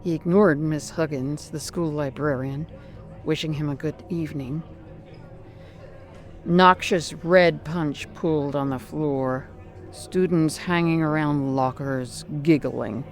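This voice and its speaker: A narrator delivers scripted horror drama in short, clipped phrases. The reading is slow and deliberate, at a middling pitch, with music in the background. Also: fairly even intonation.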